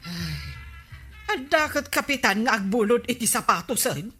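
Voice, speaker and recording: A woman's voice: on the high side, sing-song, measured pace, fairly bright tone, breathy edge, faint music behind, heavily acted. A woman with an Ilocano Filipino accent playing a scolding, unimpressed woman of the household in a radio comedy. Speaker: comedy drama actor.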